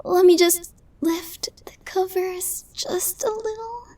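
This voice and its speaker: A woman's voice: extremely high pitch, slow pace, mellow, slight background noise. A woman playing a tiny, nervous, lovestruck young woman in an ASMR roleplay, stammering and flustered. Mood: anxious whispering. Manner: stammering, flustered, breathy asides.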